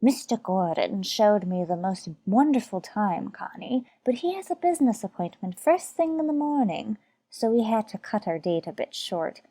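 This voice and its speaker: A woman's voice: medium pitch, expressive, normal speed, mellow, no echo. A woman playing a chatty, dotty older landlady in an old-time radio sitcom.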